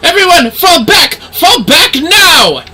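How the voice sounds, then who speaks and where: A man's voice: extremely high pitch, very animated, slow pace, sharp tone, slight background noise, voice pushed up, heavily acted. A man voicing fervent fantasy knight commanders in a video game, declaiming urgent battle cries to rally troops. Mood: alarmed, urgent.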